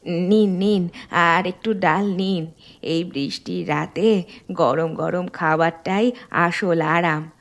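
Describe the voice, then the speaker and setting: A woman's voice: lowish voice, fairly even intonation, slow pace. A woman playing a motherly, hospitable middle-aged village housewife in a cartoon. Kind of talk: cartoon dialogue dub.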